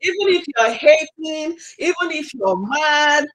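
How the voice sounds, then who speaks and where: High-voiced Nigerian woman, apologetic. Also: lively intonation, measured pace, a bit bright, rough recording. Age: 20s. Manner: apologetic, flustered by tech trouble. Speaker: guest co-host. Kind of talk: casual livestream chat.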